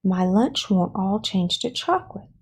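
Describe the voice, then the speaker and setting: A woman's voice: medium pitch, animated, a little slow, husky. A woman reading a children's storybook, voicing an anxious, fretful schoolboy. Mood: muttering, hopeful.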